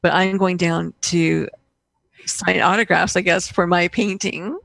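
Gravelly, lower-pitched woman telling stories. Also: unhurried, dry recording. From Austria. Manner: animated storyteller, acting out reported dialogue. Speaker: guest, painter and coach. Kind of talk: casual interview storytelling.

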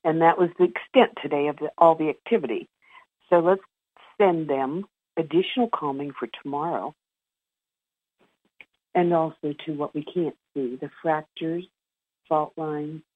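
A woman from Pennsylvania with a deep voice calmly goes through a report. She comes through a narrow, tinny phone line. Her delivery is steady and report-like. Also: a little slow, pauses often, slightly rough. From Pennsylvania, USA. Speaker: caller presenting reports. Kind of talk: reading a report on a call.

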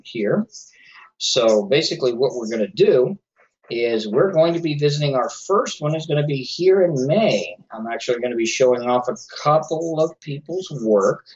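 A man at a middle pitch hosts a talk radio show on his own, speaking straight to his listeners in an earnest, lecturing way. His voice is going a little hoarse. The audio is slightly dull and lo-fi. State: losing his voice. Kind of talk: solo talk radio monologue.

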